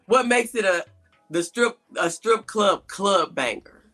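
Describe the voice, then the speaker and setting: A woman's voice: medium pitch, unhurried, a bit bright, faint music behind. A woman hosting a casual interview, often rephrasing her questions as she goes. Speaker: host.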